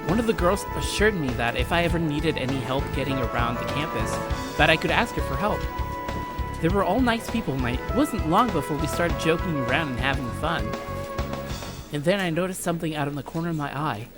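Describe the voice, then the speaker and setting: A man's voice: fairly high pitch, expressive, a little fast. A young man reading a visual novel aloud as a let's play host, with irreverent, jokey, sweary casual commentary. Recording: game music behind. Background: music.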